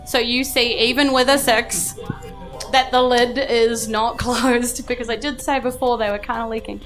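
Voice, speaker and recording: High-voiced New woman game master. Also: steady tone, normal speed. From New Zealand. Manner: casual, playful, hesitant rephrasing. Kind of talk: improvised narration of a live tabletop game. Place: live on stage at a club. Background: music under the voice.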